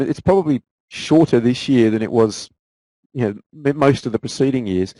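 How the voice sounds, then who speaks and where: Relaxed Australian man, mellow voice. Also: medium pitch, dry recording, low-quality recording. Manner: relaxed, frequent repeated words and restarts. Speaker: guest trader answering viewer questions. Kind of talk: casual live Q&A.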